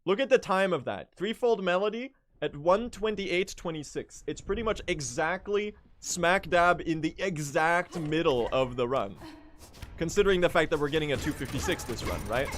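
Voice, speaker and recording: A young Swedish man live-streaming a speedrun, chatting with his viewers in a jokey, self-mocking way and bursting into yells at the game. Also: fairly high pitch, expressive, normal speed. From Sweden. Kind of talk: live gaming stream chat. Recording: game music loud under the voice.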